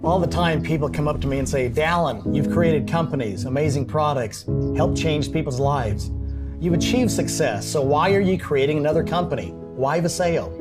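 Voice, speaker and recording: A man's voice: a little high, moderate pace. A man speaking as a company founder in a scripted promotional speech. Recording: loud guitar music under the voice. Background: loud plucked guitar music.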